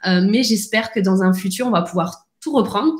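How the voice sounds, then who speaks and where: A woman talking as a podcast guest, speaking in a lively, casual way with many restarts and fillers. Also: medium pitch, brisk, reverberant. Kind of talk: casual conversational interview.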